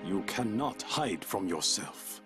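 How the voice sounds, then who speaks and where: An honourable, brooding samurai from a cartoon game. His formal, terse speech is slow and swings widely in pitch, with music behind it. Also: very animated, exaggerated voice. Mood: solemn, brooding. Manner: formal, terse, deliberate, without contractions. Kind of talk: dramatic cartoon video game acting.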